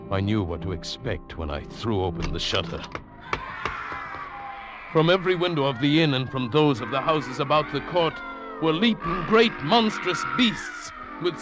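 An actor playing a haunted, tormented man telling of his ordeal with witchcraft, fervent and theatrical in 1940s radio style. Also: on the high side. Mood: tense, brooding, then awed, rising fervour. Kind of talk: 1940s radio drama acting, dramatic first-person narration. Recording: old radio broadcast with loud musical backing. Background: dramatic music.

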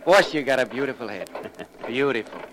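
A man's voice: mid-range voice, lively intonation, normal speed, natural voice. An actor playing a dim, fawning gangster henchman in a 1940s radio crime drama, repeating himself admiringly. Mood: gleeful admiration. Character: admiring dim-witted gangster henchman, male, eager to please boss. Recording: noisy old radio recording.

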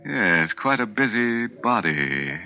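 Deep-voiced sardonic radio host. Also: male voice, big pitch swings, slow. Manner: sardonic, macabre humor. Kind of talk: 1940s radio horror hosting. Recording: dull old radio broadcast with music.

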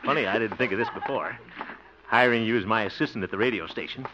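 An actor playing a blustery, hopeful older cousin in a 1950s radio sitcom, exclaiming theatrically. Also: male voice, fairly low pitch, fast, natural voice. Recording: muffled, hissy 1950s radio recording.

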